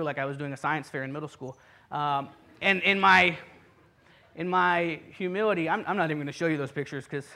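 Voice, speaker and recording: A man's voice: fairly high pitch, pushed and bright. A man in his thirties who grew up in Puerto Rico, preaching a sermon with earnest, self-deprecating asides and frequent restarts. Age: 30s. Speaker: pastor.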